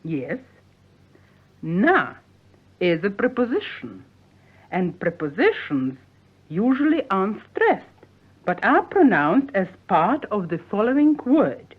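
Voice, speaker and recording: Woman, language teacher, slow measured delivery, lively intonation, precise diction, vintage radio. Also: medium pitch, slightly rough. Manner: precise, didactic, carefully enunciated. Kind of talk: scripted radio language lesson, 1950s broadcast. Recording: tinny, phone-like 1950s radio recording.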